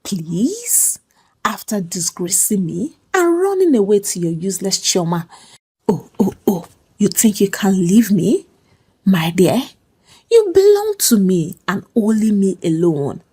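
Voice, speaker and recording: Woman acting out a cruel drunken husband, roaring, possessive, husky, storybook drama. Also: very animated, unhurried, mellow, full character voice. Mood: cruel possessive menace. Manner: booming, menacing.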